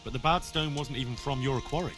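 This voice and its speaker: A man's voice: medium pitch, quick pace, a bit bright, soft background music, natural voice. A young man playing an earnest, helpful medieval villager hero in a video game, polite and plain-spoken. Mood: polite, inquiring. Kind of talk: video game character dialogue.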